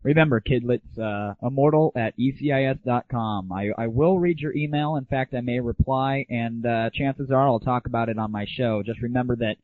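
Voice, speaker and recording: A man's voice: medium pitch, moderate pace, hardly any pauses, no echo. Man ranting on thin, phone-like lo-fi audio. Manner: crude, sarcastic, exaggerated griping. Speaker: host of a gaming audio show. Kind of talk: informal solo rant and commentary. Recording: dull lo-fi 1990s internet audio, phone-like.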